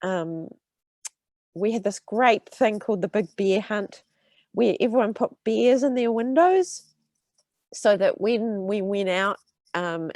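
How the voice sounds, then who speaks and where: A woman's voice: mid-range voice, no room sound. A New Zealand woman in her thirties, an urban health researcher with a cold and a stuffy nose, explaining to students with lots of ums and restarts. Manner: warm explaining with many fillers and restarts. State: congested with a cold. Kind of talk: talk to students.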